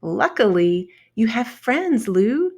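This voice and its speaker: A woman's voice: on the high side, sing-song, takes it slowly, pitched above the performer's own voice, heavily acted. A woman voicing a friendly, caring female ladybug who urges her friend along in a children's storybook read-aloud. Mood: helpful, urging.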